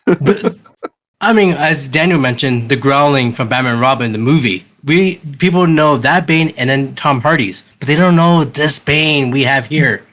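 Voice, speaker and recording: A man's voice: mid-range voice, normal speed, a bit bright. A man hosting a casual podcast chat, enthusiastic and restarting his sentences often. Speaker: podcast host.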